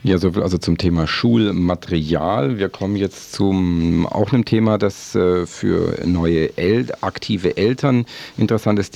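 A man presenting a radio magazine, explaining in a halting way as he rephrases between scripted passages. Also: deep voice, gravelly, mellow, no echo.

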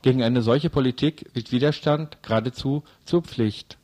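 A man's voice: mid-range voice, moderate pace, a little mellow. A man reading a scripted news report and commentary as a radio presenter.

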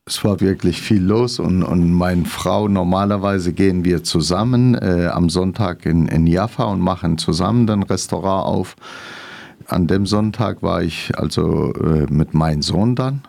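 A low, gravelly man answers an interviewer's questions. He speaks hesitantly, with many fillers and grammar. His voice is dark and mellow. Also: a little slow. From Syria. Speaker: restaurant owner.

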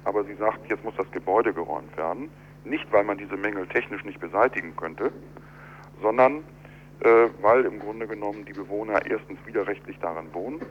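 Man, deep voice, deliberate explaining, architect being interviewed, muffled phone audio, faint electrical hum. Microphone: telephone. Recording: dull telephone line with clear hum.

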